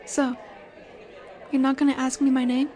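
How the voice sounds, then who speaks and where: A woman's voice: sits high, flat delivery, a little mellow, natural voice. A woman playing a confident, flirtatious werewolf alpha in a roleplay audio drama, teasing and seductive in her delivery. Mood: playful, flirty. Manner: teasing, seductive, slow and assured. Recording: bar chatter behind the voice. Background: bar ambience with crowd murmur.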